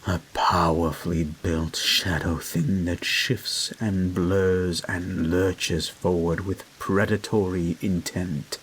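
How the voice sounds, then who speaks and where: A man playing a creepy, obsessive hypnotist therapist in a dark guided-meditation roleplay, speaking in a hushed, deliberate, poetic way. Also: low-pitched, flat delivery, a little hiss or hum, poor audio. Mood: tender, ominous. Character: creepy, obsessive male hypnotist therapist, tenderly menacing, unshakeable.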